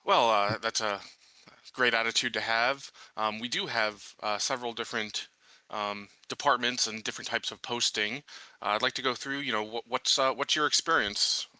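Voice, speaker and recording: A man's voice: mid-range voice, fairly even intonation, moderate pace, bright, forceful tone, no echo, poor audio. A man playing a courteous colony marshal in a tabletop roleplay, speaking formally and politely with hesitant phrasing. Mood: courteous, measured. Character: courteous colony marshal interviewing a new recruit. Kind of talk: improvised in-character tabletop roleplay.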